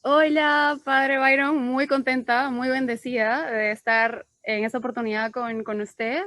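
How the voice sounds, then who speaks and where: A woman's voice: high voice, flat delivery, a little slow, a bit bright, dry recording. A woman in her thirties from Peru, with a Peruvian accent mixed with a Cuban touch, telling her story as an interview guest on a video call and talking chattily with many fillers and repeated words. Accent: Peruvian Spanish with a mixed, Cuban-tinged touch. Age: 30s. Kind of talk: personal testimony over a video call.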